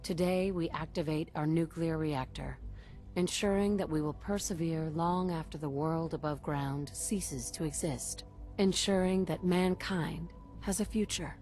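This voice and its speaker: A woman playing a composed, diplomatic heroine in a video game, speaking in a calm, controlled and reassuring way. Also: low voice, unhurried, faint music behind. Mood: solemn, proclaiming. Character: composed, diplomatic heroine, incoming director of a secret institute.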